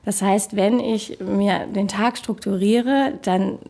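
Woman talking about what matters to her, mellow voice with some fry, a bit hesitant. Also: medium pitch, steady tone, slow. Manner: thoughtful, hesitant with fillers. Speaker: interviewee. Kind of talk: casual personal statement.

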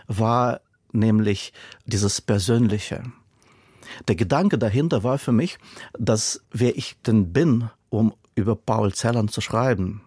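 A man's voice: on the low side, a little mellow, slightly rough, no room sound, clean studio sound. A man whose first language is Russian, answering in a thoughtful, searching radio interview.

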